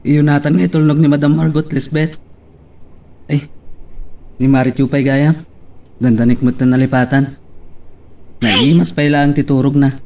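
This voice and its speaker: A man's voice: a little high, steady tone, moderate pace, long pauses, darkish tone, recorded from a distance, lightly acted. A man with an Ilocano Filipino accent playing an obliging driver in an audio drama. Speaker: actor in an Ilocano radio-style drama. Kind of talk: amateur audio drama acting.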